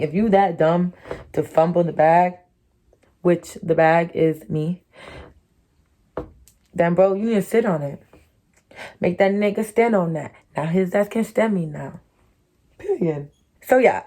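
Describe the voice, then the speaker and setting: A woman's voice: on the low side, a little mellow. Blunt, profane young American woman. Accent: her own distinctive American accent. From Connecticut, USA. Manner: candid, blunt and profane, full of "like" and "you know". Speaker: YouTuber. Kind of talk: candid storytime monologue.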